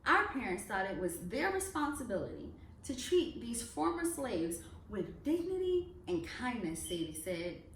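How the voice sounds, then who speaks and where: A woman reading a children's picture book aloud in an expressive storytelling way. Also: a little high. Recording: echoey room, distant mic, faint noise.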